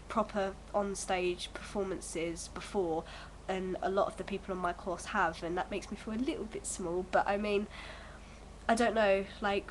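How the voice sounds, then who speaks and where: A woman's voice: mid-range voice, rough recording. Young woman vlogging at home with a cold, stuffy voice, lots of ums, bit of hiss behind. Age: early 20s. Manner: rambling, hesitant, many fillers. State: bunged up from a cold. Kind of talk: casual chat to camera.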